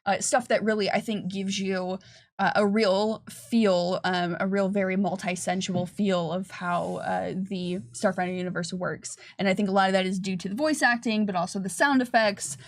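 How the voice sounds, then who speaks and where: A woman's voice: medium pitch, steady tone, normal speed. A woman chatting casually as a game developer on a livestream, explaining things with enthusiasm. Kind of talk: casual chat.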